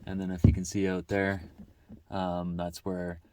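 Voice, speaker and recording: A man's voice: low voice, monotone, unhurried, mellow. A man hosting a casual how-to vlog, talking informally and thinking aloud as he explains. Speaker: vlog host showing a DIY truck install.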